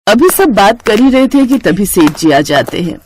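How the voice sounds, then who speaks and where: A woman's voice: medium pitch, big pitch swings, moderate pace, darkish tone, a little hiss or hum. A woman reading scripted narration for a moral story as its narrator.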